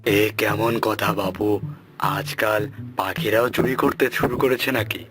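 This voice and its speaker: Man as a kindly low-voiced cartoon landlord. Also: steady tone, takes it slowly. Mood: bemused, musing. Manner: musing, indulgent, avuncular. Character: kindly, bemused male village landlord. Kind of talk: children's cartoon voice acting. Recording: music behind the voice.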